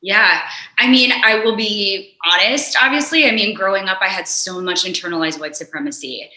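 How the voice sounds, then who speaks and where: A woman's voice: medium pitch, normal speed, pushed and bright, roomy sound, rough recording. A young adult woman speaking earnestly and reflectively as a guest in a conversation, with frequent fillers. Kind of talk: candid conversational discussion.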